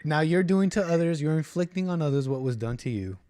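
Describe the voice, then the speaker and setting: A man's voice: fairly high pitch, animated, a little fast, a crowd in the background. A man hosting a casual chat, skeptical and teasing, who often trails off mid-sentence. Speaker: host.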